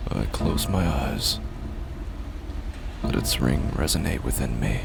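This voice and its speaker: An actor playing a weary, confused man who clings to rigid rules, narrating a horror monologue in a halting, repetitive, gravelly voice. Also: extremely low pitch, over loud music, full character voice. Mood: solemn, fixated. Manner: hesitant, halting, brooding, repeats himself. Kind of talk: first-person horror audio drama monologue.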